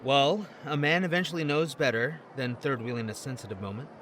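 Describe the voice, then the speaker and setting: A man's voice: medium pitch, fairly quick, a bit bright, slight background noise, natural voice. An actor playing a wry, jokey man with a troubled past, talking in a casual, slangy, hesitant way in an audio drama. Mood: playful, casual. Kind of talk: acted audio drama dialogue.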